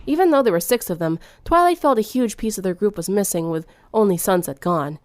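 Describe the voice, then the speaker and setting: A woman's voice: medium pitch, animated, a little fast, darkish tone, dry recording. A woman reading fan fiction aloud as scripted third-person narration.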